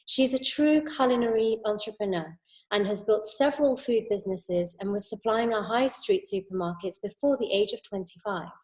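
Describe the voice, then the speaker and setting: A woman's voice: mid-range voice, moderate pace, a little mellow. A woman hosting an online interview, opening with a scripted introduction and asking friendly questions. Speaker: interview host.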